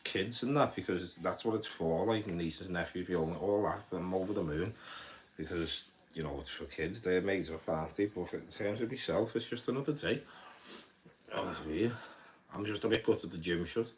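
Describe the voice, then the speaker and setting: A young man with a very low voice and a Scouse accent talks loosely to his live-stream viewers. He rambles, stumbles over repeated words and drops swear words without thinking. A faint hiss of background noise sits under the recording. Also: normal speed. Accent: Liverpool (Scouse). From Liverpool, England. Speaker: livestreamer.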